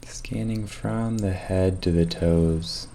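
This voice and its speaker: A man leading a guided meditation, giving calm, measured instructions with long pauses between them. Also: deep voice, a little hiss or hum.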